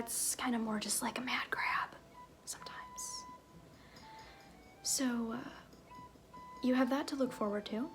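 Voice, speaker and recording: A woman playing a flirty, glib circus fool in an ASMR roleplay, half-whispering with halting, offhand, teasing asides. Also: fairly high pitch. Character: flirty, glib circus fool and sham fortune-teller, playfully evasive.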